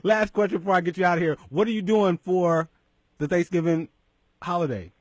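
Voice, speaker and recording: A middle-aged man hosts a radio talk show from a studio, upbeat and chatty, laughing easily and tripping over his words. His voice sits a little high for a man, close and dry on the mic. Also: brisk, dry recording. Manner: upbeat, laughs a lot, stumbles and repeats words.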